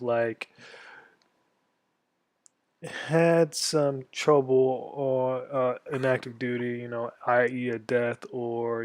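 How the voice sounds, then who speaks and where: A man in his twenties from Florida, an army veteran walking viewers through a tutorial in a casual, halting way, stuffed up from a cold. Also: medium pitch, dry recording. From Florida, USA. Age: 20s. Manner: halting, many ums and restarts. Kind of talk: casual screen-share tutorial.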